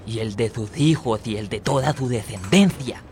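A man's voice: medium pitch, normal speed, a little mellow, a little hiss or hum, lightly acted. A man playing an aggressive young migrant boy who leads a gang, in radio theatre. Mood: menacing. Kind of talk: Spanish-language radio theatre acting.

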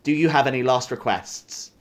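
A man's voice: medium pitch, moderate pace, lightly acted. A man playing a rigid, officious young revolutionary soldier who speaks in stiff official formulas. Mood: stern, insistent.

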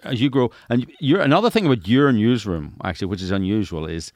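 A middle-aged man talks as an interview host. His voice is on the low side and recorded very dry. He is conversational and emphatic, repeating phrases to stress his point. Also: animated, fast, no echo. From Northern Ireland. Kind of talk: casual conversational interview talk.

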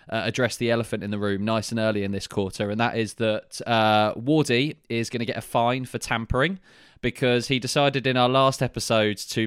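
A man's voice: lowish voice, clear, resonant tone, no room sound. Brief: Southern English male podcast co-host, rambling and chatty, dry video-call sound. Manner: chatty, rambling with many "uh" fillers, laughs easily. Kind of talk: casual sports podcast chat.

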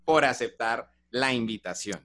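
A man hosting an interview podcast, greeting listeners warmly and asking questions with small fillers. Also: mid-range voice, a little slow, dry recording. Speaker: podcast host.

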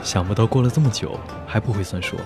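A man's voice: lowish voice, fast talker, music in the background, natural voice. A man playing an aloof god in a fantasy audio drama, speaking tersely and coldly. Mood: cold, curt. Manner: terse, cold. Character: aloof, curt male god in a fantasy tale.

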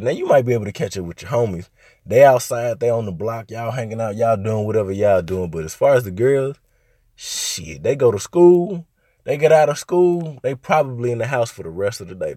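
A young man from Arkansas hosting a casual solo podcast, talking in a laid-back, rambling way with lots of fillers, his voice a little hoarse from a cold. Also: mid-range voice, warm tone, no room sound, studio quality. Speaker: podcast host.

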